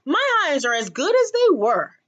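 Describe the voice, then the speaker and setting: Woman acting elderly lady of the stage, high voice, swooping intonation, dramatic, storybook read-aloud. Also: sing-song, fairly bright tone, lo-fi sound. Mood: triumphant. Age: older. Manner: theatrical, drawn-out delivery. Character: dramatic, elderly retired actress, fortune-telling and triumphant.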